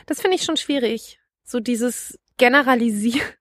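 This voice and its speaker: Young woman, podcast co-host, from eastern Germany, earnest, higher voice, dry sound. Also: normal speed, fairly bright tone, no echo. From Dresden area, eastern Germany. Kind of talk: casual podcast discussion.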